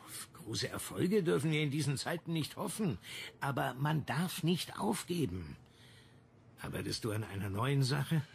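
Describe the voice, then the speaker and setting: A weary, friendly editor in a radio drama. His mid-range voice has a creaky edge. He chats with a resigned air. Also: medium pitch, lively intonation, normal speed, slight background noise. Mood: collegial. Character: collegial, world-weary male newspaper editor. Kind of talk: German radio play acting.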